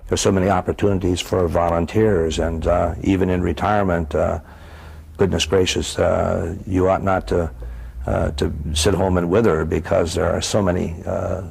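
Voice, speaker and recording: An elderly man, a retired priest, reminiscing in an interview in halting, folksy phrases. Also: deep voice, little pitch movement, gravelly, darkish tone, breathy edge. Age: 60s or older. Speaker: retired priest and sports announcer.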